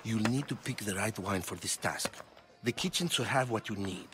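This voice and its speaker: An actor playing a vain, disdainful intellectual in a video game, speaking in a pompous, arch manner. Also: male voice, on the low side, moderate pace, background noise, natural voice. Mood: sly amusement. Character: vain, witty, disdainful male Athenian intellectual. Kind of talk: video game cutscene acting.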